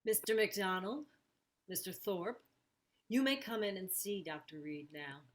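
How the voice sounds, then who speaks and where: A woman playing a composed, politely insistent nurse in old-time radio drama style, measured and deliberate. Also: mid-range voice, animated, natural voice. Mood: polite, businesslike. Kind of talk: 1940s radio drama acting.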